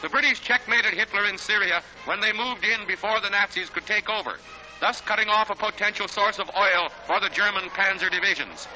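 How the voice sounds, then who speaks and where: High-pitched American man, 1940s newsreel narrator. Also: fairly quick, poor audio. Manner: dramatic, declamatory delivery. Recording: 1940s newsreel soundtrack with loud music.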